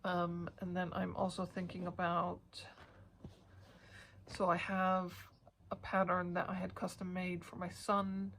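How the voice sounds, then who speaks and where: A woman's voice: on the low side, completely flat delivery, a little slow, fairly bright tone, a little hiss or hum. A woman vlogging about her stitching in a rambling casual chat, sniffly and stuffed up, with many hesitant fillers.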